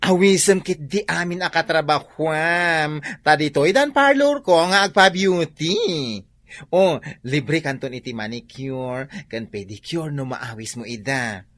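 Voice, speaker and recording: A man acting a flamboyant, gushing beauty parlour owner with an Ilocano accent in a radio drama, with theatrical exclamations and drawn-out words. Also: on the high side, a bit bright, dry recording.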